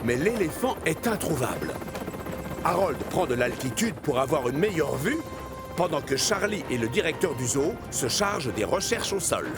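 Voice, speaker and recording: A man's voice: on the high side, sing-song, normal speed, over music. A man narrating a scripted children's cartoon story.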